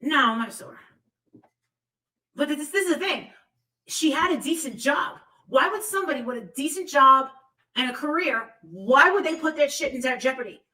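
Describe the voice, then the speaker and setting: A woman with a high, sharp voice, picked up a little way from the mic. She hosts a casual livestream chat in a blunt, gossipy, profane manner. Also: lively intonation, moderate pace, far from the mic, rough recording.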